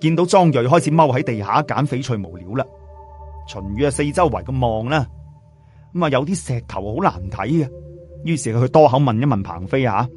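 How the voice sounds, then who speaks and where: A man's voice: mid-range voice, animated, brisk, a little mellow. A man narrating a serial novel in a colloquial, chatty way, often putting questions to listeners. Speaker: audiobook narrator. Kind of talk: animated oral storytelling of a serial novel. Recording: music behind the voice.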